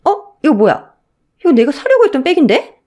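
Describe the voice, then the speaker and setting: Woman acting a snide sister-in-law, high lilting sarcasm. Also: big pitch swings, darkish tone. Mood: accusing. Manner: sarcastic, passive-aggressive, drawn-out. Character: snide, envious older sister-in-law feigning refinement. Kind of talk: radio story drama.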